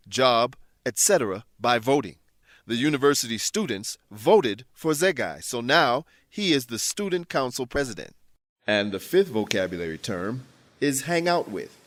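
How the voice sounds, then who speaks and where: A man hosting and narrating a scripted language lesson, explaining things in a clear, measured teacher's manner. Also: mid-range voice, fairly bright tone, no echo. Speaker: host and English teacher.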